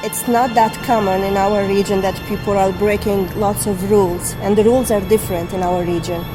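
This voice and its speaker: A woman's voice: medium pitch, fairly even intonation, fairly quick. A woman scientist explaining her facility's research plainly in a documentary interview. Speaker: synchrotron scientist. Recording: music bed under the voice.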